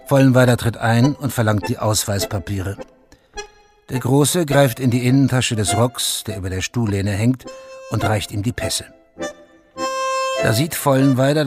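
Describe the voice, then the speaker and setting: A man narrating a scripted radio drama in a sober, factual manner, in short plain statements. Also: medium pitch.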